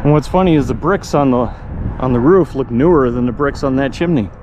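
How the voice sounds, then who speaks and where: A man musing aloud in a reflective, casual way as he explores and comments on what he sees. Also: fairly quick. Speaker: vlogger and photographer exploring abandoned places. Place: abandoned farmstead, mostly outdoors beside a highway. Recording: noisy outdoor field recording, highway nearby.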